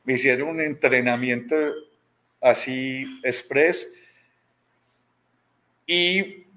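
A man's voice: medium pitch, extremely slow, pushed and bright. A man in his thirties with a Colombian accent from Medellín, telling stories casually in an interview and acting out quoted dialogue as he goes. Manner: conversational, acts out quoted dialogue, often tags with "¿cierto?". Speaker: robotics teacher being interviewed. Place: small room at home, indoors, video call.

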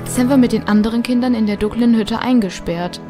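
A woman narrates a children's radio drama from a script. Her voice sits fairly high. Music is clearly audible behind her. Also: fairly quick.

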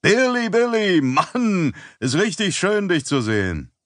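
A man's voice: sing-song, unhurried, fairly bright tone, no echo, clean studio sound, heavily acted. A man voicing a jovial, heavyset middle-aged male crime boss with an effusive, hearty manner. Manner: effusive, booming, back-slapping.

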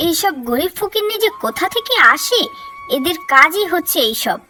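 A woman's voice: very high voice, sing-song, normal speed, bright, forceful tone, faint music behind, heavily acted. A woman voicing a haughty, dismissive young girl in a children's moral cartoon, bossy and scornful.

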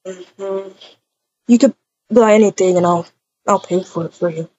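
A woman voicing a laid-back, obliging young boyfriend in a game roleplay, speaking quietly and tersely. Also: unhurried, breathy edge, dry recording. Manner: quiet, low-key, terse. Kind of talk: improvised video game roleplay. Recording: dull, muffled lo-fi recording.

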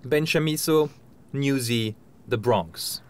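A man in his thirties reading a scripted news report in a measured, clear way. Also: mid-range voice. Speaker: correspondent.

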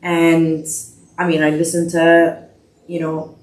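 A woman's voice: low voice, monotone, darkish tone, a little hiss or hum. Chatty woman in an echoey room. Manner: chatty, quick bursts with frequent fillers and restarts. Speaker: podcast guest. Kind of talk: casual interview chat. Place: living room, indoors, medium size.

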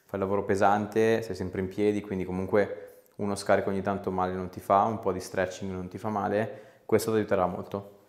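A man in his thirties working as a chiropractor, giving calm, casual, reassuring instructions and short questions to a patient. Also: low voice, fairly quick. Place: small clinic treatment room.

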